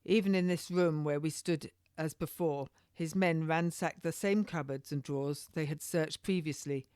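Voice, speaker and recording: A woman's voice: low voice, normal speed, darkish tone, clean tone, no echo, clean studio sound. A woman author narrating her own historical novel in the first person, an amateur reader who occasionally stumbles over a word. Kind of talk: scripted first-person storytelling.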